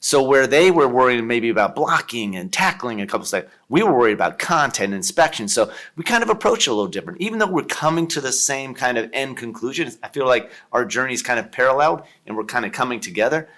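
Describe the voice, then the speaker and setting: A man's voice: medium pitch, lively intonation, fast talker, hardly any pauses, a bit bright. A man in his fifties with an Ohio accent, chatting as a podcast guest in a jokey, rambling way. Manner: jokey, rambling, leans on "kind of". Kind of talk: casual interview chat.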